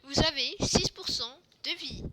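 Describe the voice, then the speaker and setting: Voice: high-pitched, unhurried, bright, forceful tone. A female speaker improvising role-play dialogue during a game, stammering and bossily feeding the others their lines. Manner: stammering, repeating words, bossy prompting. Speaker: player leading a role-play skit. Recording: noisy game audio with clicks.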